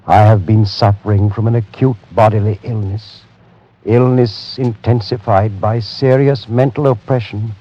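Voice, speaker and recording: Slow, low-voiced tormented aristocrat. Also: male voice. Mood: weary, humble. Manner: formal, slow, pleading. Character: ailing, tormented aristocrat reading out his desperate letter. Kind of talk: 1940s radio drama acting. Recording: muffled old radio recording, faint music.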